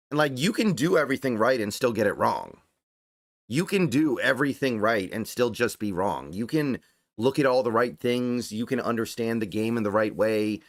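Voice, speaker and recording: A man hosting a casual sports livestream, thinking aloud and repeating points for emphasis as he answers viewer chat, his voice starting to go a little hoarse. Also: mid-range voice, dry recording, clean studio sound. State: voice getting strained, starting to go hoarse.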